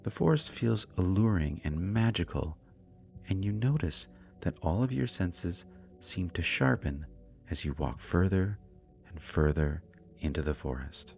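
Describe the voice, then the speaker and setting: Deep-voiced man guiding a slow meditation. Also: gravelly, muffled recording. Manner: calm, soothing, deliberate phrasing. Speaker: guided meditation narrator. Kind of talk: scripted guided meditation narration. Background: meditation music with singing bowls.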